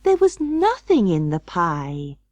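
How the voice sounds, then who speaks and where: A woman's voice: lowish voice, huge pitch swings, slow, darkish tone, dry recording, heavily acted. A woman voicing a prim, fussy female cat hostess in a storybook reading, proper and emphatic. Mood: indignant, firm. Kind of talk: audiobook storybook character voice.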